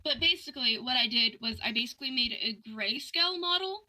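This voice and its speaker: A young woman chatting casually, telling a story in an animated, ranting way and acting out both sides of conversations. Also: on the high side, a little fast, low-quality recording.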